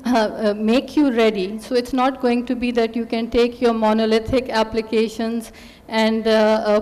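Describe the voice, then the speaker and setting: A middle-aged woman speaking as a panelist at a conference, measured and full of filler pauses, into a stand microphone. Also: a little high, a little mellow. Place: large hotel conference hall. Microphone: table stand mic. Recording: long hall reverb.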